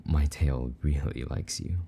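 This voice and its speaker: A man playing a possessive but tender, lonely demon lover in an intimate romantic audio roleplay, speaking softly and coaxingly in a husky voice. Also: a little hiss or hum, full character voice. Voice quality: very deep, dark, husky. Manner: soft, slow-burning, gently coaxing endearments.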